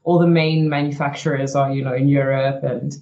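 A woman's voice: rumbling low, little pitch movement, fairly quick, mellow, muffled recording. A young woman from South Africa, a racing driver, telling her story frankly as an interview guest, with lots of "you know" and "like". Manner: chatty and frank, many "you know" and "like" fillers.

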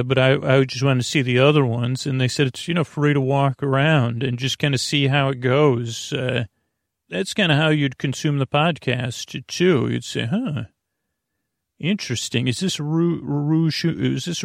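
A man with a creaky, gravelly voice hosting a bedtime podcast in a soothing, halting, rambling monologue that drifts into tangents. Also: medium pitch, steady tone, no room sound, studio quality. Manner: meandering, halting, stop-and-start, trailing off into tangents; soothing, lulling. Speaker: podcast host.

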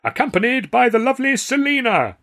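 A man voicing a grandiose music hall announcer who proclaims loudly and theatrically. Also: very high voice, big pitch swings, brisk, voice pushed up, full character voice.

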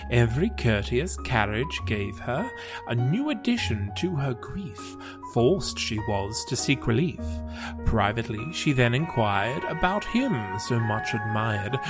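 A man gives a measured poetry reading at a slow pace. His pitch moves expressively through the lines, and music plays clearly behind him. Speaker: podcast host and poetry reader. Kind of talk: poetry reading, scripted narration.

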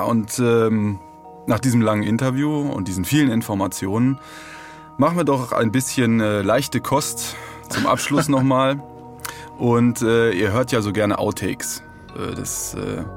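Lowish-voiced man chatting as co-host. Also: vocal fry. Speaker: podcast co-host. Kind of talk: casual chatty podcast talk.

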